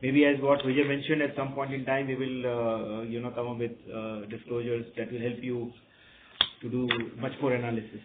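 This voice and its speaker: A man with an Indian accent, a company executive giving measured, cautious, formal answers on a conference call. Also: medium pitch, flat delivery. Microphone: conference call line. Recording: narrow phone line with faint noise.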